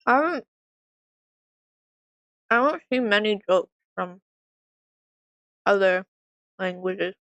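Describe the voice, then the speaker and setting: A woman's voice: mid-range voice, expressive, slow, long pauses, fairly bright tone, dry recording, lo-fi sound. A young woman streamer chatting casually while she eats and works, rambling with lots of "like" fillers. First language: French by heritage, English first language. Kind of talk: casual chat while eating and working.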